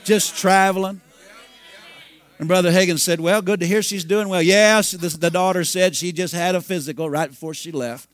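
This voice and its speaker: A man's voice: high voice, steady tone, moderate pace. Fifty-something man preaching a sermon. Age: 50s. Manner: storytelling, bursts into shouting and hallelujahs. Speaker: pastor.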